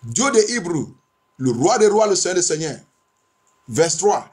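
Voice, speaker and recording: High-pitched male pastor in fervent prayer. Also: sing-song, unhurried, darkish tone. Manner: declamatory, repetitive, insistent. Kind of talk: bilingual sermon and fervent prayer.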